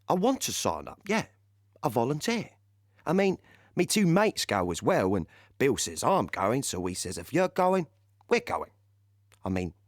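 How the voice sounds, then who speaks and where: Animated East London teenage soldier. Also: male voice, lowish voice, no room sound, studio quality. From Stratford, East London. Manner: colloquial, excitable storytelling. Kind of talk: audio play monologue, animated anecdote.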